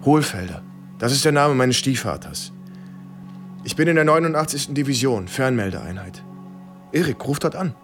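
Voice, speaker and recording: An actor playing an anxious, pleading young American soldier in a radio drama, narrating and speaking in a tense way. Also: male voice, medium pitch, sing-song, moderate pace, pauses often, a bit bright. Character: cornered young American soldier John, anxious, pleading, posing as German officer. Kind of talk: radio drama acting and first-person narration. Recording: studio radio play with music underneath.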